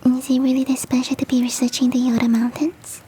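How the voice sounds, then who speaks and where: Woman as a high, whispering, sweet yeti girl. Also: little pitch movement, a little fast, a little hiss or hum, close to an everyday voice. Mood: curious, affectionate. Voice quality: soft, breathy whispering. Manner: gentle, coaxing, affectionate, self-deprecating. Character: big, sweet, doting female yeti hostess. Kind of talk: whispered ASMR roleplay audio.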